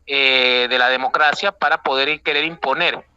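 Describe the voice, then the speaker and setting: A man's voice: medium pitch, fairly even intonation, normal speed, pushed and bright, clear, resonant tone, no room sound, highs cut off. A man with a Venezuelan accent, an international analyst giving emphatic, rambling political commentary with many fillers, calling from an airport. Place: airport waiting area.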